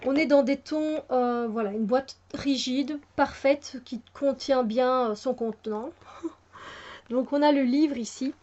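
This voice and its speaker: A woman with a fairly high voice talks through a tarot deck in an easy, unscripted way. She hesitates often, restarting phrases and filling gaps, but sounds keen on the subject. The recording is muffled and lo-fi. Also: takes it slowly, darkish tone. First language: French. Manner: hesitant, many fillers and restarts, enthusiastic. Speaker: tarot reviewer. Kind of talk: casual spoken product review.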